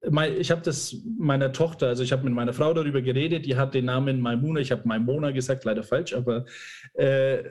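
A man's voice: mid-range voice, steady tone, quick pace, darkish tone. A man hosting a casual conversational interview in an informal, jokey, somewhat hesitant manner. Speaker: podcast host, interviewer.

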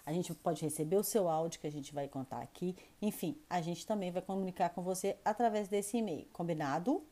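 Chatty, upbeat woman with a mellow voice. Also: fairly low pitch, a little fast. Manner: enthusiastic, chatty, self-deprecating. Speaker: podcast co-host. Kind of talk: casual podcast chat. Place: home in France.

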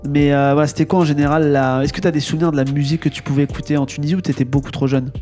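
A man's voice: on the high side, flat delivery, very fast, dark tone, soft background music. A man hosting a casual conversational interview, feeling his way through questions with repeats and rephrasings. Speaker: podcast host interviewing a guest.

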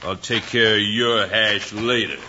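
An American actor playing a scheming outlaw gang boss in an old radio Western, with a gruff, menacing, commanding manner. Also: male voice, lowish voice, fairly even intonation, unhurried, pushed and bright. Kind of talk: 1950s radio Western acting. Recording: dull, noisy old radio recording.

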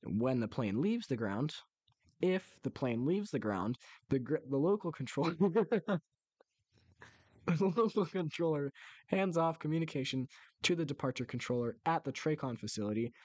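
A man's voice: a little high, lively intonation, moderate pace, long pauses, a little mellow, dry recording. A man co-hosting a casual podcast, explaining things in a jokey way and breaking off into asides. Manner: jokey, self-interrupting asides. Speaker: podcast co-host.

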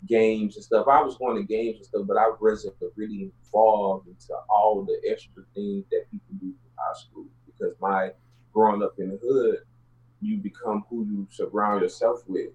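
Low-voiced Houston man, muffled audio. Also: fairly even intonation, moderate pace, a little mellow. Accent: Houston Southern US. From Houston, Texas, United States. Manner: colloquial, streetwise slang. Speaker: interview guest. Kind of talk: casual personal storytelling interview.

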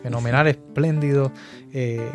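Male host, casual and halting, faint guitar under the voice. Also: medium pitch, steady tone, a little mellow. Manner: hesitant, many fillers. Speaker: podcast host.

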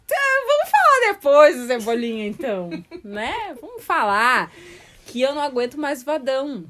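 A woman co-hosts a casual sports chat. Her voice is fairly high and swings widely in pitch. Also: big pitch swings, normal speed, fairly bright tone. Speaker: podcast co-host.

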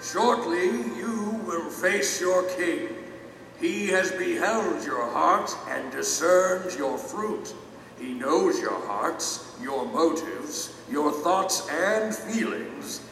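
Solemn royal herald: high, forceful, extremely slow proclamations, grand and ceremonial, in a vast echoing hall. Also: male voice, expressive, vocal fry, recorded from a distance, exaggerated voice. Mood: solemn, commanding. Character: solemn royal herald summoning souls to judgment. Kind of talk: audio drama acting. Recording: cavernous echoing hall, music behind.

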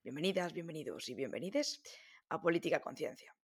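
A woman's voice: low-pitched, lively intonation, a little fast, slight background noise. A woman hosting a podcast interview in a casual, chatty way, with informal, enthusiastic interjections.

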